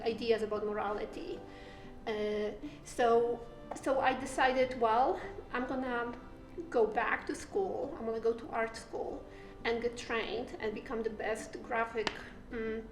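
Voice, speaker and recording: Polish-accented female artist, halting storyteller, roomy sound. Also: fairly high pitch. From Poland. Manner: halting, many fillers and restarts. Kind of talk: personal story interview. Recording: echoey room with faint music.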